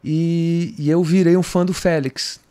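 A man's voice: fairly high pitch, steady tone, measured pace, warm tone. A man in his fifties from Brazil, a guest on a podcast recorded in a home music studio, talking in a loose, rambling way, restarting sentences and tagging them with "cara" and "entendeu?". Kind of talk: casual interview talk. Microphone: studio mic on boom arm.

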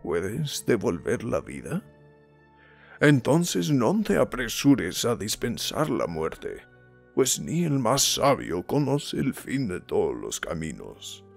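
A man voicing a wise old wizard in a fantasy film dub, solemn and deliberate. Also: lowish voice, wildly swooping pitch, vocal fry, soft background music, exaggerated voice. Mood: grave, compassionate.

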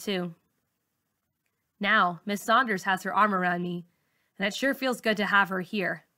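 A woman's voice: medium pitch, pushed and bright. A woman narrating a first-person novel aloud, as a teacher reads to a class.

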